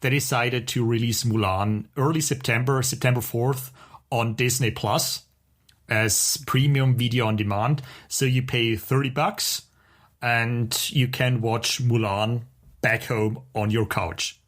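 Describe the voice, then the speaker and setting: A man's voice: medium pitch, fairly even intonation, a little slow. A man co-hosting a podcast in casual conversation, with an opinionated, wry way of talking. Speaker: podcast co-host.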